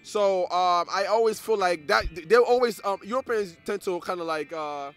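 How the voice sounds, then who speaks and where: Nigerian man, interview guest, a bit high-pitched, earnest and halting, repeats words. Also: faint music behind. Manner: earnest, repeats words while searching for them. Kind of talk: casual interview conversation.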